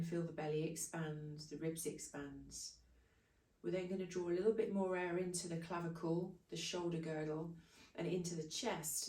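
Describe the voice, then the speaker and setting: A woman guides a breathing meditation in short, unhurried phrases. Her voice is mellow and soothing, with very little rise and fall. It sounds roomy and echoey, as if picked up away from the microphone. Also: on the low side. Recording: echoey room, distant from microphone.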